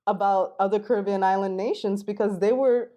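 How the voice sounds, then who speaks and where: A woman from Queens, New York, talking as a podcast guest and linguistic anthropologist, explaining at length in a fluent, relaxed way with many fillers. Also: medium pitch, fairly quick. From Queens, New York, United States. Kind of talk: casual interview.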